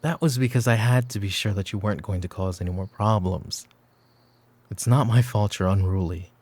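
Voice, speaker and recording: Guy acting as a mafia boss for roleplay asmr, mellow low voice, calm n commanding, kinda brooding and teasing. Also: moderate pace, natural voice. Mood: firm, reassuring. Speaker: voice actor. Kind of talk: roleplay ASMR audio drama.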